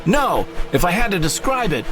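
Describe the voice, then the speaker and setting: A man playing a lively sidekick narrator. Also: sits high, normal speed, music in the background. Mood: narrating. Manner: lively, expressive delivery. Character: young male sidekick narrator in space adventure. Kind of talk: first-person audio drama narration. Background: dramatic score.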